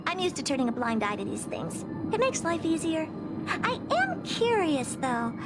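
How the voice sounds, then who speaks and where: A woman's voice: high-pitched, huge pitch swings, normal speed, over loud music. An actress playing a breezy, imperious young genius with a girlish, offhand, theatrical delivery in a game. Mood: nonchalant. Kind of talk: scripted game dialogue.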